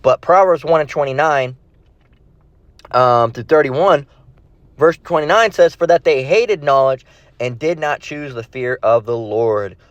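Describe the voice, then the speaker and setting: A man's voice: mid-range voice, unhurried, no room sound. Man preaching informally, dull dry sound. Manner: blunt and profane, confrontational, repeats phrases; slangy, tags phrases with "all right?" and "okay?". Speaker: street-style Bible preacher. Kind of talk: informal impromptu sermon with scripture reading.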